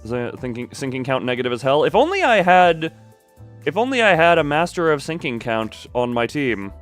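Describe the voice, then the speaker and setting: A man's voice: a little high, very animated, normal speed, fairly bright tone, soft background music. A man doing a casual, rambling game stream, playfully joking and talking back to his viewers.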